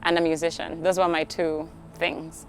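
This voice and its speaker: A woman's voice: low-pitched, little pitch movement, normal speed, a little hiss or hum. A young woman from Uganda, answering interview questions outdoors in a chatty, reflective way, often saying "like". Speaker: guest, tree program coordinator. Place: outdoors at a tree nursery.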